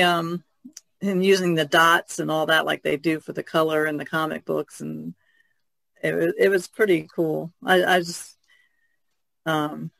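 A man with a somewhat high voice and a noticeable creak chats casually on a podcast. He sounds tentative and rambles as he goes. The recording is dry and free of echo. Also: a bit bright. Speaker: podcast panelist. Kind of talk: casual chat.

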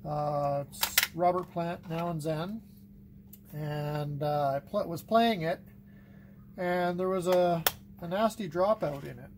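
A middle-aged man giving a casual, unscripted workbench tutorial, thinking aloud haltingly as he works with his hands. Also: fairly high pitch, noisy background. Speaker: hobbyist demonstrating cassette repair. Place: indoors at a wooden workbench.

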